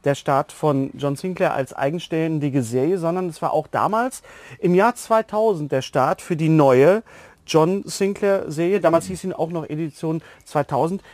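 A man's voice: on the high side, normal speed, darkish tone, no room sound. A man hosting a podcast interview in a casual, chatty, teasing way.

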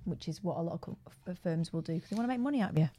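A woman's voice: on the low side, lively intonation, very fast, warm tone. A woman co-hosting a live show, chatty and wry, reading a sponsor message casually. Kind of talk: casual chat with sponsor read.

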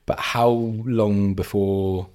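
Hoarse male podcast host, lowish voice, friendly and rambling. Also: fairly even intonation, extremely slow, a little mellow. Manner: friendly, asks long rambling questions. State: hoarse, losing his voice. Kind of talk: casual interview chat.

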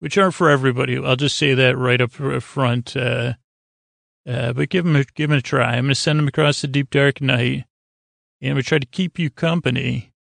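A man with a creaky voice hosting a sleep podcast, talking in a halting, rambling, gently goofy way that drifts into repetition and wordplay. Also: medium pitch, steady tone, no room sound, studio quality. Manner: meandering, halting, repeats and trails off into wordplay. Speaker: podcast host. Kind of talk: rambling bedtime talk to help listeners fall asleep.

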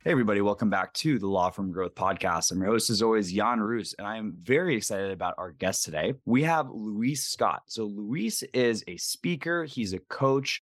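A man hosting a podcast, chatting casually and quickly with his guest, correcting himself with a laugh. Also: fairly low pitch, dry recording, clean studio sound. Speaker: podcast host. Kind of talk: casual interview chat.

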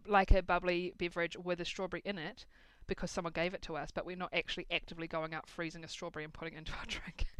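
A woman's voice: lowish voice, level tone, fast talker, dry recording, poor audio. A young woman hosting a casual chat, laughing often and swearing casually. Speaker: podcast host.

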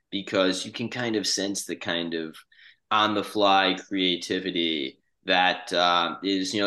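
Deep guy podcast host, bright forceful voice, earnest, stumbles along casually.

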